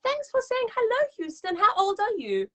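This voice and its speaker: A woman's voice: extremely high pitch, wildly swooping pitch, normal speed, pushed and bright. A woman hosting a live story time, talking to young children in an encouraging, gushing, sing-song way. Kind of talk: live read-aloud and chat addressed to young children.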